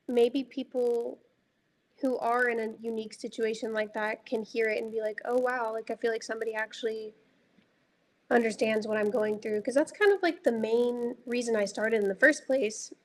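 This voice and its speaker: A young woman from Dallas with a light, high voice, a music artist talking reflectively about her songs and filling her speech with casual fillers. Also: fairly even intonation, moderate pace, a little hiss or hum. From Dallas, Texas. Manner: reflective, heavy use of filler words. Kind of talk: casual interview chat.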